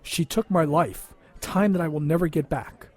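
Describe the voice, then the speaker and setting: A man's voice: on the high side, normal speed, soft background music, lightly acted. A man playing a big, traumatized and resentful younger brother in an audio drama, bitter and wounded in manner. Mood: cold resentment.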